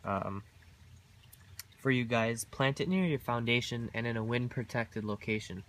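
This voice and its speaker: A man's voice: medium pitch, fairly bright tone. A young man giving a casual tour of his backyard garden while filming, talking with many ums and uhs. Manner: halting, many ums and uhs, chuckles at own jokes. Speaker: gardening vlogger. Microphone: handheld camera mic, filming himself off screen.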